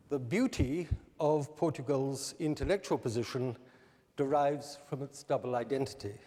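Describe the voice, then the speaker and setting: A man delivers a formal prepared speech at an unhurried, deliberate pace. His voice is mellow and dark in tone. The sound carries a long reverb from a large hall. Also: mid-range voice. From New Zealand. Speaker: candidate giving a public presentation.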